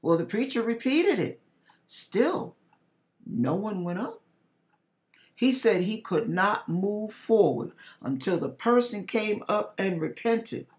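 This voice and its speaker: A middle-aged woman reading a personal testimony aloud in a deliberate, dramatic storytelling manner, with pauses. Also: medium pitch. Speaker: host reading a viewer's testimony. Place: home kitchen, indoors.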